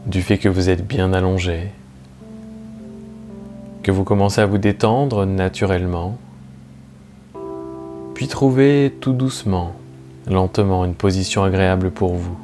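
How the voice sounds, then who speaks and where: Low-voiced man guiding relaxation over music. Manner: slow, calm, soothing, measured with long pauses. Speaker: guided meditation teacher. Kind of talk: guided relaxation narration. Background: soft plucked guitar music.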